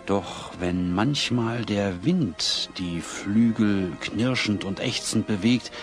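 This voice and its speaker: A man playing a dignified, vain small-town mayor in a children's radio play, speaking formally and with measured words. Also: fairly low pitch, faint music behind. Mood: storytelling, condescending.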